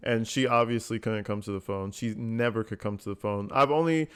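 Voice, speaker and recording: A man's voice: lowish voice, moderate pace, clear, resonant tone. A man giving casual, blunt reaction commentary, slangy and full of swearing. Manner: blunt, informal, conversational. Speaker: commentator host reacting to a jail call recording.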